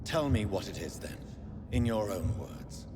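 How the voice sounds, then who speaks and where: A man's voice: fairly low pitch, big pitch swings, unhurried. An actor playing an earnest, troubled vampire doctor in a video game, articulate and formal in his speech. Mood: incredulous, questioning. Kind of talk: dramatic video game acting. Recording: reverberant hall, clear background ambience noise.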